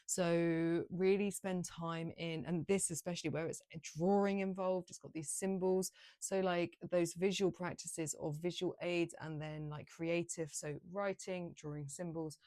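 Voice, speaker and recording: A woman giving a casual, improvised tarot reading, rambling along with gentle, encouraging spiritual guidance. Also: on the low side, steady tone, a little slow, hardly any pauses, dry recording. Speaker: tarot card reader, channel host.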